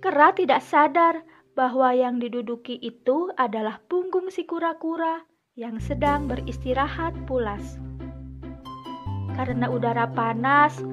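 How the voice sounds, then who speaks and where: A woman tells a children's fable as a scripted storyteller. Her voice is high-pitched. Prominent music plays behind the narration. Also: unhurried, lo-fi sound.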